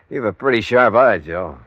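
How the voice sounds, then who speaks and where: An actor playing a skeptical American insurance investigator, asking probing, wry questions in old radio drama style. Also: male voice, fairly low pitch, no echo, muffled recording. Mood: sardonic. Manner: dry, probing, sardonic questioning. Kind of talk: 1950s-60s American radio drama acting.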